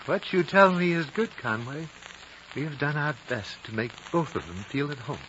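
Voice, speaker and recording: An actor playing an ancient, wise and dying high lama in a 1950s radio drama, solemn, fatherly and measured. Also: lively intonation, natural voice. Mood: gently amused. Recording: dull, noisy old radio recording.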